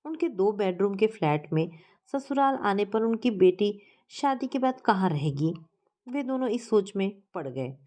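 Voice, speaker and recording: A woman's voice: mid-range voice, expressive, a little slow, warm tone. A woman narrating a scripted story as a storyteller.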